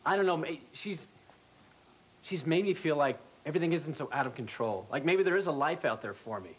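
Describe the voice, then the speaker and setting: Actor doing a troubled young man, defensive, halting, lively pitch swings, soap opera scene. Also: a little hiss or hum, lightly acted. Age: young adult. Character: troubled young man hiding from his powerful father. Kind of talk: 1990s daytime soap acting. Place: indoor studio set.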